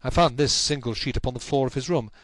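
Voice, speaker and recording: A man voicing an anxious, helpless young gentleman in a storybook, recounting his troubles in an earnest, measured, formal way. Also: medium pitch, no echo, lightly acted. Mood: hesitant, earnest. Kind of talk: audiobook character acting.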